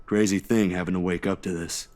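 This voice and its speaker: A man voice-acting a steady, easygoing friend in a visual novel, casual and coaxing as he reassures. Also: deep voice, fairly quick, lightly acted. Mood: gentle, lighthearted.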